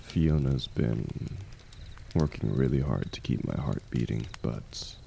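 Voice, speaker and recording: A man playing an aging, wounded warrior, the hero's loyal and gentle shield, speaking softly and haltingly in an intimate audio roleplay. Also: very deep voice, lively intonation, gravelly, a little hiss or hum, full character voice. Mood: resigned, earnest. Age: older. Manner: soft, halting, confiding, reassuring.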